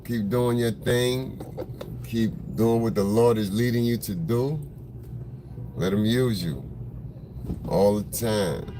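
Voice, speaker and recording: Male livestream host, smoker's voice, relaxed and slangy, talking into a phone in the car. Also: lowish voice, slow, pauses often. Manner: laid-back, rambling, slangy, greets viewers by name. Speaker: photographer hosting a livestream. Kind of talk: casual livestream chat with viewers. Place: in a car in traffic. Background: faint vehicle noise.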